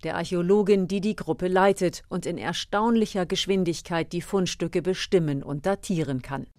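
A woman's voice: lowish voice, moderate pace, darkish tone, no room sound. A woman working as a radio reporter, narrating a scripted feature.

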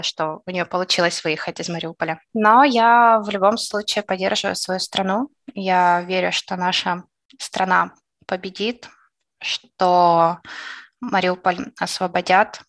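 A woman from Ukraine speaks in an interview, her voice on the lower side. She talks haltingly, pausing often and filling gaps with soft hesitations. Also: steady tone. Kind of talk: emotional interview testimony.

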